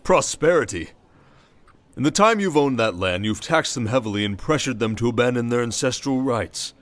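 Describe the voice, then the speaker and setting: A man plays a shrewd fantasy king in an ASMR audio drama, with a mid-pitched voice. He is measured and wry, regal in bearing, with a teasing and protective streak. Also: expressive, close to an everyday voice. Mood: indignant.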